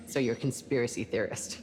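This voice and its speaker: A wry, guarded woman doctor on a spaceship crew. She speaks low, with a sardonic edge suited to game drama. Reverb hangs around her voice and music plays behind. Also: a little fast, a little mellow. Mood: sceptical. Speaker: ship's doctor. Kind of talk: cinematic video game acting.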